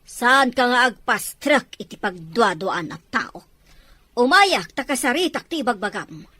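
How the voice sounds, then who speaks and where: Woman acting a haughty, high-voiced rich madam. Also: very animated, a little slow, bright, forceful tone, no room sound, exaggerated voice. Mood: haughty, suspicious. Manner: scornful, imperious, scolding. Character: haughty, hot-tempered rich mother and madam. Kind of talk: Filipino Ilocano radio-style drama acting.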